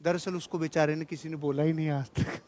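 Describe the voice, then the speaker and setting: High-pitched man giving a motivational talk. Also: little pitch movement, a little fast, darkish tone. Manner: emphatic, repeats key phrases for effect; jocular, colloquial storytelling. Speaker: motivational speaker and trainer. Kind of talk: motivational seminar speech with anecdotes and humour.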